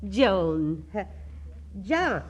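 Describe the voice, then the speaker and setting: A woman's voice: mid-range voice, sing-song, extremely slow. An actress playing a nosy, doting neighbour lady in a 1940s radio comedy, cooing, with foreign-tinged inverted phrasing. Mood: doting, amused. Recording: noisy old 1940s radio broadcast.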